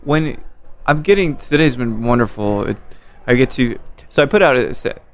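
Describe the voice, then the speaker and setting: A man's voice: medium pitch, lively intonation, normal speed. A man hosting a podcast, chatting in a friendly, encouraging way with his guest. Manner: friendly, conversational, encouraging interviewer. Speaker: podcast host. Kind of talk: casual interview chat.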